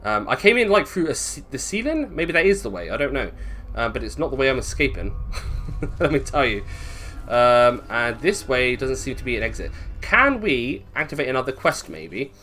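A man's voice: medium pitch, very animated, moderate pace, sharp tone. A British man giving casual gameplay commentary, thinking aloud, swearing and questioning himself as he goes. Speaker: gaming video host.

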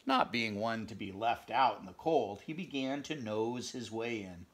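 A middle-aged man reading a picture book aloud at home, expressive, pausing to chat about the pictures and dropping into whispers. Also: on the low side. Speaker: read-aloud storyteller. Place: home room, indoors.

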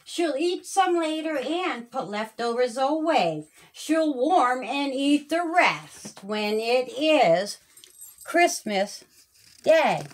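A woman narrating a rhyming children's story in a deliberate, sing-song manner, stretching the ends of phrases. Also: high-pitched, expressive. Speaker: children's author reading her own story.

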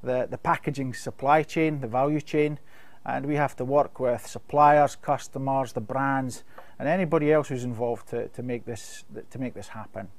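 A middle-aged Scottish man answering webinar questions on a video call, measured and full of fillers and restarts. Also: mid-range voice, steady tone, dry recording. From Scotland. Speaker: company sustainability expert.

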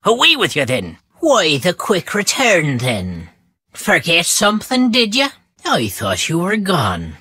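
An actress playing a gruff, suspicious countrywoman with an Irish accent and a curt, dismissive manner. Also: on the low side, wildly swooping pitch, takes it slowly, bright, forceful tone, no echo, clean studio sound, full character voice. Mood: brusque, needling.

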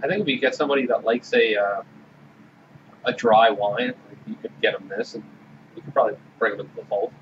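A man hosting a casual beer-tasting podcast who speaks in a measured way and steers the talk. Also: lowish voice, far from the mic. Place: small room with orange walls. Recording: dull sound with faint hiss.

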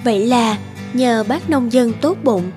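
A woman narrates a scripted story in a fairly high voice. Music plays clearly behind her as she tells the tale. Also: measured pace.

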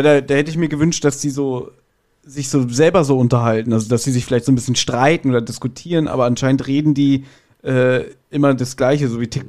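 A man's voice: medium pitch, darkish tone. A man speaking as a podcast guest in casual, enthusiastic fan chat.

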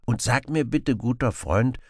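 A man's voice: mid-range voice, a little slow, slightly rough, no room sound, studio quality, pitched above the performer's own voice. A man voicing a friendly, fearless young outlaw hero in an audiobook, playful and teasing. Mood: mock innocence. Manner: playful, mock-innocent, teasing.

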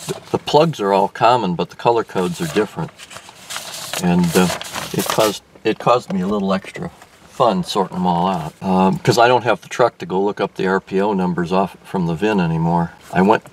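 A man's voice: sits low, normal speed. An older man explaining a car radio install as he works, thinking aloud with frequent restarts and fillers, recorded inside a car in daylight. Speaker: car restoration vlogger. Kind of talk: casual hands-on explanation. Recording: distant mic with faint background noise.

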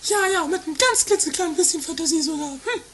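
A woman's voice: extremely high pitch, expressive, fast talker, a little hiss or hum, full character voice. A woman voicing a cheeky, self-important little creature with a teasing, haughty way of chatting in an improvised comic audio play. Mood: smug, boastful. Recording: loud music under the voice.

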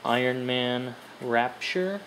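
A collector casually showing off finds, announcing items in short clipped phrases with long pauses. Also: medium pitch, fairly bright tone. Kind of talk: casual comic haul show-and-tell, listing titles. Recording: background noise, amateur camera microphone.

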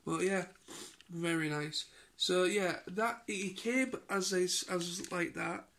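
A man's voice: high-pitched, a bit bright. A man in his thirties with a British accent, tired and stuffed up from a cold, rambling casually in a daily vlog from his living room with a phone held at arm's length. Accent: British English. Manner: rambling and halting, many restarts and fillers. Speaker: vlogger. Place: living room at home, indoors. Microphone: phone camera held at arm's length.

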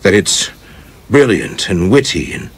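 A male actor plays a self-assured young movie director in a radio play. His husky voice swoops through wide, animated pitch as he speaks in grandiose, theatrical phrases, evasive and sure of himself. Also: measured pace, exaggerated voice. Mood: assertive.

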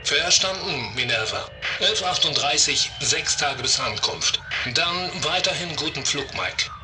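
A matter-of-fact spaceport operator, acted by a man in a sci-fi audio drama. He speaks in clipped official radio procedure. Loud music runs behind him. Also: on the high side, lively intonation, unhurried. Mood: businesslike. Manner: formal, procedural radio phrasing. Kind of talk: science-fiction radio play acting.

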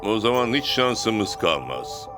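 Male cartoon coach, low pitch, stern, no-nonsense, music underneath. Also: sing-song, slow. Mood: grave. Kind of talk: cartoon dialogue.

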